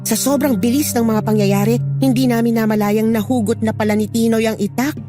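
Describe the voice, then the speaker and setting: A woman's voice: fairly high pitch, steady tone, brisk, music in the background. A woman giving a scripted narration of a horror story as its narrator.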